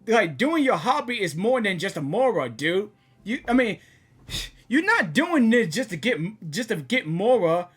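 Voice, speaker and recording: A woman doing excited live game reaction commentary, exclamatory, slangy and repetitive. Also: medium pitch, measured pace, breathy edge.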